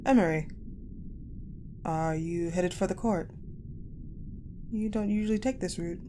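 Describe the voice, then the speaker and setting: A woman playing a cold, guarded, possessive war general in a dramatic audio roleplay, speaking in a measured, haughty, pensive way with deliberate pauses. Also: fairly low pitch, lightly acted. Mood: dry, guarded. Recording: clearly noisy, ambient sound bed.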